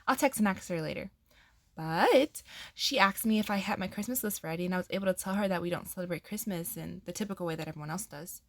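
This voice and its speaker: A woman's voice: on the low side, quick pace, no room sound, pitched below the performer's own voice, lightly acted. A woman playing a polite, earnest teenage girl in an amateur audio drama, sweet and devout. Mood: upbeat, earnest.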